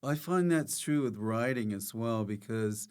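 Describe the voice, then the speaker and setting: A man's voice: mid-range voice, animated, a little slow, a little mellow, clean tone. A man hosting a podcast, chatting casually with playful, dry remarks. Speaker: podcast host. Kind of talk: casual comic interview.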